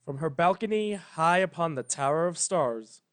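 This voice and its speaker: A man's voice: on the high side, lively intonation, moderate pace, fairly bright tone. A man reading a story aloud as narrator, in a dramatic scripted style. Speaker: narrator, fan fiction reader.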